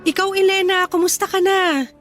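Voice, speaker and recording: An actress with a Filipino accent playing a cautious, doubtful wife with a questioning, hesitant manner in radio drama dialogue. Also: female voice, very high-pitched, steady tone, moderate pace, a bit bright. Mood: polite. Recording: faint music under dialogue. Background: faint music.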